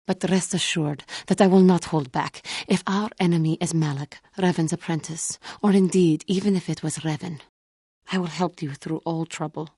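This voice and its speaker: A woman playing an earnest, wounded alien warrior in a video game, speaking formally and gravely in measured phrases. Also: fairly low pitch, steady tone, warm tone, no echo, clean studio sound. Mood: solemn, resolute. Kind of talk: video game character dialogue.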